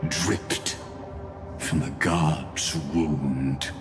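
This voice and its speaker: A grave, fatalistic schemer of a scholar for a fantasy game. His voice is low and extremely slow, each phrase set apart by portentous pauses. Music plays clearly behind him. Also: steady tone, exaggerated voice. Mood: solemn, reverent. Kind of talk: dramatic video game voice acting.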